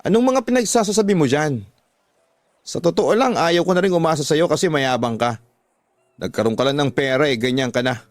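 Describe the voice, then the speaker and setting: A man's voice: a little high, brisk, no echo, clean studio sound, natural voice. Man acting as a defiant, blaming Filipino wife. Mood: complaining. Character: defiant, blaming gambling-addicted wife in radio drama.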